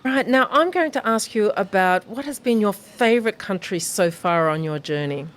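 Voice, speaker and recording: A woman's voice: medium pitch, expressive, normal speed, slight background noise. Bubbly Australian woman radio host. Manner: bubbly, laughs, warm questions. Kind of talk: radio interview.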